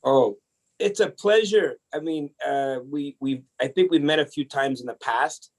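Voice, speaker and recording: Energetic chatty man with a gravelly voice. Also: on the high side, a little slow. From El Salvador. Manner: energetic, chatty, many restarts. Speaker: podcast guest. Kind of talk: casual interview storytelling.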